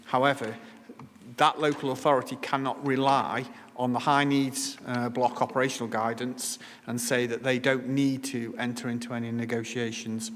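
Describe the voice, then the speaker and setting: A man explains policy in a measured, careful way, often restarting his sentences. His voice sits in the middle of the male range and carries a long, reverberant ring from the council chamber. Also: mid-range voice, flat delivery, fairly bright tone, slight background noise. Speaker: council officer answering questions. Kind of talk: formal meeting explanation.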